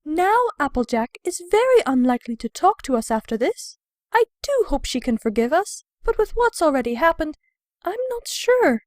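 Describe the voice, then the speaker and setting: Woman playing a high, genteel, theatrical lady pony. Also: sing-song, moderate pace, a little mellow, no room sound, studio quality. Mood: worried. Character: refined, dramatic, affectionate ladylike female unicorn pony. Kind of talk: animated fan audio drama.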